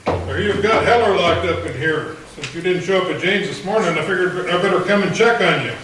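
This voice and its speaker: A man's voice: high voice, a little fast, a bit bright. A man playing a fretful small-town banker. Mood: puzzled. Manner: questioning, fretful. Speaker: town banker Benson Bailey. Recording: roomy echo, a bit distant, faint hiss.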